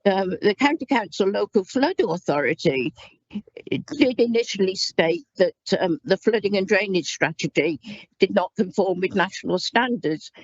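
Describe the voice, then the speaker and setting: A woman councillor speaks in a council chamber, pausing often and restarting her sentences. The sound is dull and dry, like a meeting microphone. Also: mid-range voice, lively intonation, breathy edge, dry recording. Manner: halting, many ums and restarts. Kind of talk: formal committee speech.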